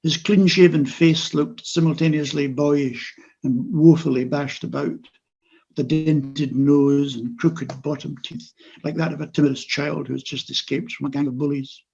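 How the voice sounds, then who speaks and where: Older man, author reading his memoir; gravelly, husky, fairly high, dull video-call sound. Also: fairly even intonation, a little mellow. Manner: measured, wry literary reading. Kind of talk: reading his own memoir prose aloud.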